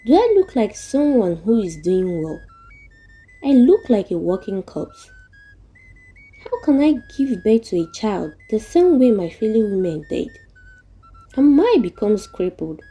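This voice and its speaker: Woman voicing a husky, despairing Nigerian village mother. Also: medium pitch, sing-song, unhurried, warm tone, exaggerated voice. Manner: lamenting, rhetorical. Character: despairing young village mother pouring out her troubles. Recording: music playing behind the voice.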